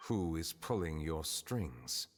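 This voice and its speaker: A man voicing a dignified chief justice in an anime game, formal, measured and calm. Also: extremely low pitch, long reverb tail, slight background noise, heavily acted. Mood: stern, calm. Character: Neuvillette, composed, dignified male chief justice.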